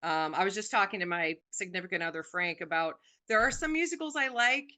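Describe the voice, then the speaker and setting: A woman's voice: medium pitch, expressive, fairly quick. A woman in her forties, a singer guest on a podcast, chatting casually with lots of "um"s. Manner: chatty, warm about her music, many "um"s.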